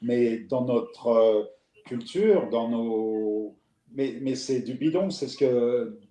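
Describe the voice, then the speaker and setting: A man's voice: medium pitch, takes it slowly, warm tone. A man with a Parisian French accent hosting a live chat, talking in a rambling, reflective way with many fillers and restarts. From France. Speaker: host. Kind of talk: casual live conversation. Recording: roomy echo.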